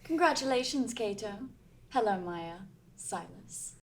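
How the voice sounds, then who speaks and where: A woman's voice: medium pitch, animated, measured pace, slight background noise. A woman reading the part of a smug, competitive teenage witch girl in a haughty, condescending tone.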